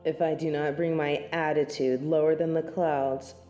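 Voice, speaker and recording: A woman's voice: low-pitched, level tone, moderate pace, dark tone, echoey room, faint music behind, lightly acted. A woman playing a snobby, scornful angel in a scripted audio roleplay, speaking in formal, archaic phrases with lofty disdain. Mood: cold superiority. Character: snobby, haughty female angel of judgement, proud, scornful tsundere.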